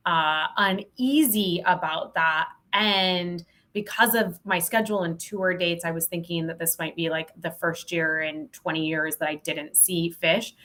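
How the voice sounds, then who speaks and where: An American woman speaks in a slightly low register as a podcast guest. Her delivery is informal and animated, with a self-deprecating streak, in a casual conversational interview style. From Montana, United States. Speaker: podcast guest, sales professional.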